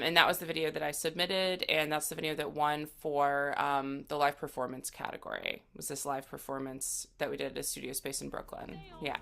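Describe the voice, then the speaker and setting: A woman musician talking casually as a guest in an interview, with a creaky voice. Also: low-pitched, bright, forceful tone.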